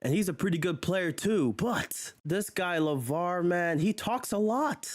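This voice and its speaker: A man's voice: high voice, animated, measured pace. A man hosting a casual sports commentary video, chatty and exclaiming, often chuckling. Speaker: video host.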